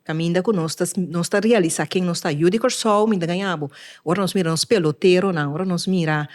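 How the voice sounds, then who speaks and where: Woman, Curaçao Papiamentu accent, lowish voice, earnest, podcast chat. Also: brisk. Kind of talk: casual podcast conversation.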